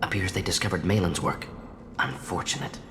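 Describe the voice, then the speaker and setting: A man playing a brisk, clinical, proud and principled alien scientist in a video game, speaking in clipped telegraphic phrases. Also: low voice, pushed and bright, slight background noise. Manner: clipped telegraphic phrases, dropping small words. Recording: reverberant hall, music underneath.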